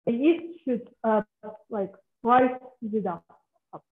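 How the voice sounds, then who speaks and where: A woman talking through a recipe from a kitchen, her voice fairly high and hesitant. The audio is thin and phone-like, with a roomy echo from a laptop set some way off. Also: takes it slowly. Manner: hesitant, searching for words. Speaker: cooking instructor. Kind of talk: explaining a recipe on a video call. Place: home kitchen. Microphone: far laptop webcam on a video call. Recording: tinny, echoey video call audio.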